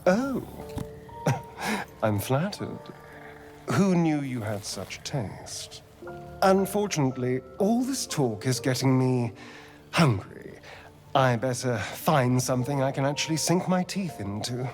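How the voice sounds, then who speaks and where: Sly vampire, vain, flirtatious, unhurried, widely swooping pitch, video game drama. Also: male voice, sing-song, soft background music. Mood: coy, amused. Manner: theatrical, flirtatious, arch, drawn-out delivery. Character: sly, vain male vampire, centuries old, hungry for power.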